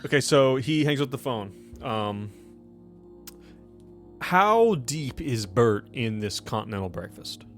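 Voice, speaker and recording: A man's voice: medium pitch, expressive, takes it slowly, faint music behind. A man narrating casually as game master of a tabletop game, in a conversational, jokey way.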